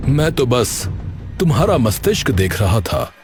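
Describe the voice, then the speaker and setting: A man's voice: medium pitch, big pitch swings, unhurried. A man playing a haughty, formal and condescending king in a cartoon folk tale. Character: haughty, testing, authoritative king. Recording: music behind the voice.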